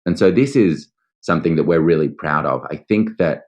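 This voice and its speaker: A man's voice: low voice, moderate pace, a little mellow, lo-fi sound. A man with an Australian accent, explaining things in an articulate, structured way as an interview guest. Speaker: interview guest, legal tech founder.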